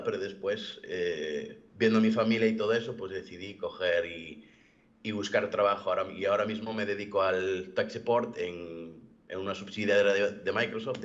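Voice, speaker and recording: A young man with a fairly low voice gives a personal account over an online call. He speaks haltingly, often repeating a word before rephrasing. The audio is somewhat dull and lo-fi. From born in the United States, living in Galicia, Spain. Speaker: event participant giving a personal testimony. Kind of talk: personal testimony in an online interview. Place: video call.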